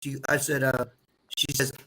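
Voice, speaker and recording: A man's voice: medium pitch, level tone, moderate pace, background noise. A man co-hosting a casual live stream, rambling through stories and repeating his words as he starts sentences.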